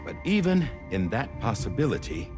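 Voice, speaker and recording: An actor playing a grave, resolute middle-aged director who delivers measured, theatrical oratory in a game cutscene. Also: male voice, mid-range voice, big pitch swings, over music, natural voice. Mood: solemn, hopeful. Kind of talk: dramatic game cutscene monologue.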